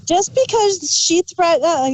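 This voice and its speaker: A woman's voice: very high voice, expressive, slow pace, slight background noise, low-quality recording. A woman bantering casually as a podcast co-host in a review discussion.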